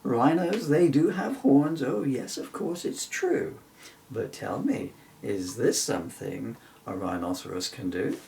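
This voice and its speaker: A man voicing a gentle, jokey magic unicorn for a children's storybook, in a friendly, playful manner. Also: medium pitch, animated, unhurried, gravelly, far from the mic, slight background noise. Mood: proud, amused. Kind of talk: children's storybook character reading.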